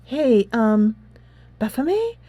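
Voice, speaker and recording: A woman voicing a sweet, earnest, eager high school girl from a visual novel game. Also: very animated, slow pace, mellow, dry recording, muffled recording, soft background music, exaggerated voice. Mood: earnest, hurt. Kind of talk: visual novel character read aloud.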